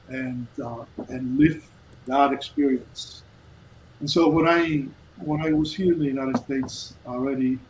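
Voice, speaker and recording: A man from Cuba in his fifties, a guest telling his life story in an earnest, halting way with many restarts. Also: mid-range voice, darkish tone, muffled recording, slight background noise. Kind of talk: casual storytelling interview.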